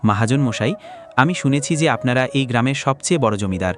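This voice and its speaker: A man voicing a humble, flattering poor farm labourer in a cartoon, speaking in a deferential, pleading way. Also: mid-range voice, a little fast, soft background music, natural voice. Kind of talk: cartoon dialogue acting.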